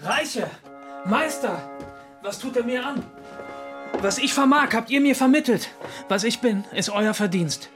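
A man's voice: extremely high pitch, animated, measured pace, pauses often, music in the background. An actor playing a hot-headed journeyman musician in his twenties in a German radio drama, impassioned and defiant. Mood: grief, outrage. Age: 20s. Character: hot-headed 26-year-old journeyman trumpeter, passionate and aggrieved.

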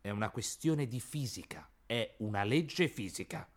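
A man's voice: fairly low pitch, expressive, normal speed. An actor voicing a pedantic, exasperated know-it-all man in a radio play. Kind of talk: radio theatre acting.

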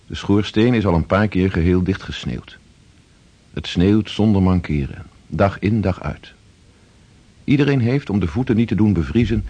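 An actor playing a grave expedition diarist, narrating in a measured, somber way in a radio play. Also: male voice, deep voice, a little mellow, breathy edge, muffled recording. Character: grave male expedition diarist recounting a polar winter.

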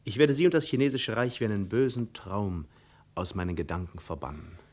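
An actor playing a cool, ironic adventurer posing as a prince, measured and sardonically polite, in 1950s radio drama style. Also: male voice, on the low side, darkish tone, lightly acted. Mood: cool, reserved.

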